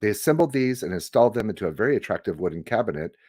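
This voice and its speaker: A man narrates an audiobook from a prepared script. His voice sits on the low side, and the recording is dry and close, with very little room sound. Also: expressive, very fast, darkish tone, breathy edge, dry recording. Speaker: audiobook reader.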